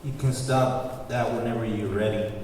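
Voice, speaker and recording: A man's voice: mid-range voice, fairly even intonation, normal speed, far from the mic, close to an everyday voice. A man playing a flamboyant, coolly menacing cult leader in his thirties at a screenplay table read, recorded in an echoey, noisy room. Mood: cold, dismissive.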